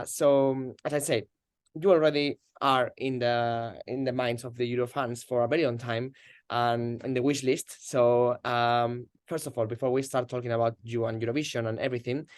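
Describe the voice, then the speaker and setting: A man's voice: medium pitch, darkish tone, clear, resonant tone, dry recording, studio quality. A man with a Spanish accent and native Spanish, interviewing casually and searching for his words in English.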